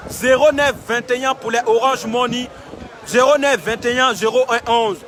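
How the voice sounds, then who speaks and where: Young male streamer, very high-pitched and insistent, phone mic outdoors, faint crowd behind. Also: moderate pace, a bit bright. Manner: emphatic, repetitive, urging viewers. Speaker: live-streaming vlogger. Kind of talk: impassioned outdoor livestream. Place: outdoors in a city street camp. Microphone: phone held while filming.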